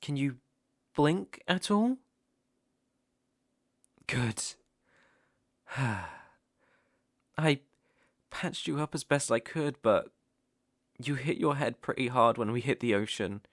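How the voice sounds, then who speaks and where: A British man playing an obsessive, softly possessive stalker in an intimate ASMR roleplay, hushed and coaxing. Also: medium pitch, animated, slow, pauses often, no room sound, clean studio sound, heavily acted. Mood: tender, worried.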